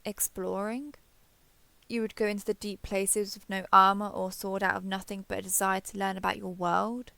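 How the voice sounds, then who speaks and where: A woman's voice: mid-range voice, level tone, normal speed, gravelly, bright, forceful tone, no room sound. A woman voicing a proud, ancient female dragon in a fantasy roleplay audio, with formal, haughty, archaic phrasing. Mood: thoughtful.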